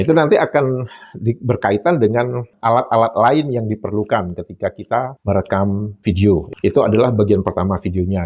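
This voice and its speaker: A man delivers solo commentary in a low, husky voice, mocking and emphatic as he repeats points for effect. The recording sounds a little dull and lo-fi. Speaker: YouTube commentator.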